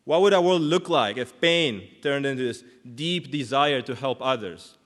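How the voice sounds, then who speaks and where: A man's voice: mid-range voice, normal speed, a bit bright. A man in his thirties from Israel, speaking English, giving an earnest, reflective conference talk as a clinical psychologist. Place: large conference hall. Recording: long hall reverb.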